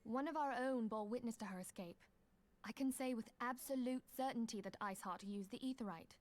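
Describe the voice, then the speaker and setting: A woman's voice: fairly high pitch, fairly quick, lightly acted. A woman playing a grave, resolute leader in a fantasy video game, speaking formally and solemnly. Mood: certain.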